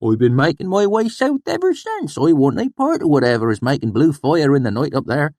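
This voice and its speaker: A man's voice: fairly high pitch, big pitch swings, a little fast, darkish tone, dry recording, clean studio sound, pitched above the performer's own voice. A man voicing an irritable old rustic swineherd with blustery, countrified speech. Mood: indignant.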